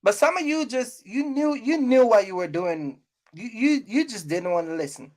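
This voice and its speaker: Female YouTube host, higher-pitched voice, blunt scolding advice, off-the-cuff and repetitive for emphasis. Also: lively intonation, normal speed, a little mellow. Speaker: YouTube host giving trucking business advice. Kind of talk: casual unscripted rant to viewers.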